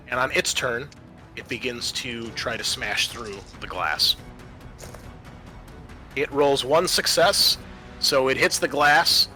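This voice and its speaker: A man's voice: mid-range voice, pushed and bright. A man running a tabletop roleplaying game as game master, narrating scenes and explaining the rules to players. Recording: music under the voice. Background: music.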